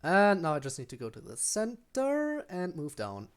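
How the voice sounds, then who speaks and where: A man's voice: high-pitched, wildly swooping pitch, moderate pace, clear, resonant tone. Man casually commentating his gameplay. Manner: thinking aloud while playing. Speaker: game streamer.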